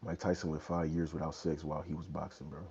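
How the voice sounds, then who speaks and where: A man's voice: very deep voice, little pitch movement, fast, warm tone, slight background noise. A young man in his twenties hosting a casual fitness livestream from a small bedroom, answering questions in a laid-back, slangy way through an earbud mic. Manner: laid-back, loose slang, many "you know what I'm saying". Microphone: wired earbud mic, phone held low. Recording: dull lo-fi phone audio.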